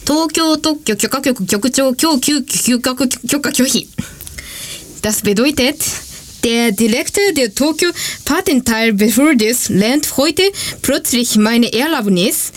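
A woman's voice: fairly high pitch, lively intonation, unhurried. A woman with a Japanese accent presenting a radio segment in non-native German from a script.

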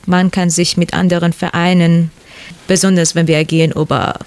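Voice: medium pitch, flat delivery, normal speed, clean tone. A native Persian speaker from Iran with a Persian accent, a guest in a radio discussion who searches for words. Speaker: interview guest. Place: radio studio.

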